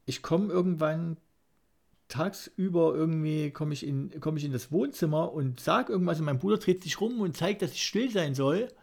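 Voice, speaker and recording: A man's voice: on the high side, gravelly, darkish tone. A man in his forties from East Germany telling anecdotes in casual, colloquial podcast talk, with a wry touch. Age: 40s. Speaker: podcast co-host.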